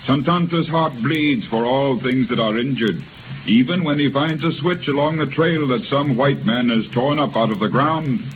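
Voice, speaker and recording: A grandiose male chief performed by an actor orates solemnly and floridly in 1930s radio style. His voice sits at medium pitch. The sound is thin and band-limited, with clear noise. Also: moderate pace, lightly acted. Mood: solemn, conciliatory. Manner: solemn, flowery oratory. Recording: noisy, tinny 1930s radio recording.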